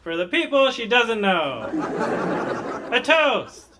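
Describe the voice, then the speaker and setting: A man's voice: extremely high pitch, sing-song, slow pace, heavy background noise, exaggerated voice. An actor playing an effusive, emotional young newlywed man in an audio sitcom, with a gushing, theatrical delivery. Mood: gushing, celebratory.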